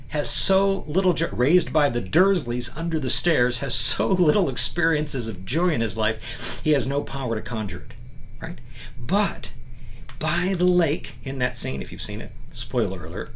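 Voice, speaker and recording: A man's voice: medium pitch, a bit bright. A man giving a motivational talk, reading from a book and commenting on it, emphatic and often checking in with rhetorical questions. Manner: rhetorical questions and frequent "right?" check-ins. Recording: clear background noise.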